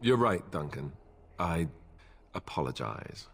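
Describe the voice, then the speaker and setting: An actor playing a wry, boyish young warrior in a fantasy game, cheeky yet deferential. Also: male voice, low-pitched, big pitch swings, takes it slowly, gravelly, husky, exaggerated voice. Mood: contrite.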